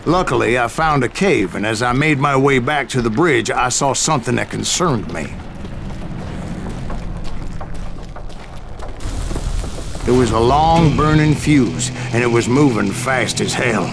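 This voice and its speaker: Gravelly old Western gunslinger. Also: male voice, mid-range voice, animated, music in the background. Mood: folksy, measured. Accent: American Western drawl. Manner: folksy, boastful, unhurried storyteller. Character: grizzled old gunslinger spinning tall tales. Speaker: narrator. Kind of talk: dramatic storytelling narration in a Western game.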